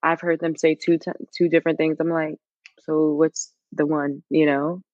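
A woman's voice: sits low, flat delivery, normal speed, a little mellow, no room sound, dull audio. A woman chatting informally as a podcast guest, in a casual and conversational way.

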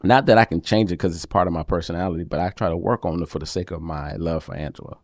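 A man with a deep voice that carries, co-hosting a casual chat with his wife, animated and getting louder when excited. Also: quick pace, no room sound, clean studio sound. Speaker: podcast co-host. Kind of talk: casual married-couple chat.

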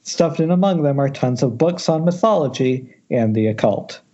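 Casting: male narrator for screenplay directions, husky, wry and informal, muffled internet-call sound. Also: mid-range voice, expressive, normal speed, mellow. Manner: wry, jokey ad-libs. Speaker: narrator reading stage directions. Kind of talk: loose, informal script narration at a table read.

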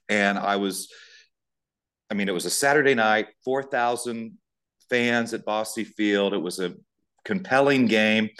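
A middle-aged American man with a fairly low voice talks as an interview guest. He tells personal stories in a steady, earnest way, filling in the details conversationally. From United States, Indiana. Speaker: guest, sports public address announcer.

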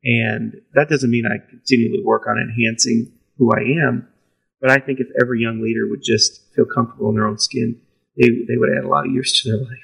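A man's voice: medium pitch, little pitch movement, brisk, gravelly, a bit bright, slightly rough, poor audio. A pastor, a man, telling candid stories about his preaching in a self-deprecating way, laughing easily. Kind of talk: candid conversational storytelling.

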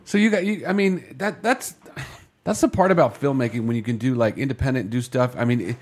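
A man's voice: mid-range voice, a little fast, darkish tone. A man hosting a radio morning show, asking questions in an easygoing, joking way. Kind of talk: casual interview chat.

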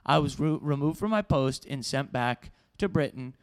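A man's voice: mid-range voice, expressive, moderate pace. A man co-hosting a comedy podcast, telling an improvised tall tale in a mock-serious tone and often laughing at his own lines. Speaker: podcast co-host. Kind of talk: improvised comic storytelling.

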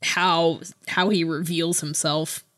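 A woman's voice: low voice, level tone, takes it slowly, a bit bright. A woman co-hosting a podcast in a casual book chat, with a playful, giggly manner. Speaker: podcast co-host.

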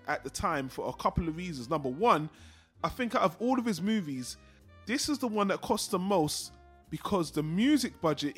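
A man's voice: fairly high pitch, a bit bright. A man co-hosting a casual film discussion, rambling and repeating himself for emphasis. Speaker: podcast co-host.

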